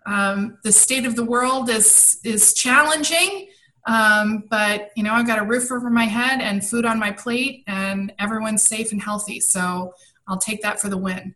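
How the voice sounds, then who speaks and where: Chatty woman with a bright voice. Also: a little high, measured pace, low-quality recording. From grew up abroad and in Maryland, US. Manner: chatty, thinks aloud with many ums. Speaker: guest, personal style coach. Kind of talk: casual interview answers. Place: home in Maryland.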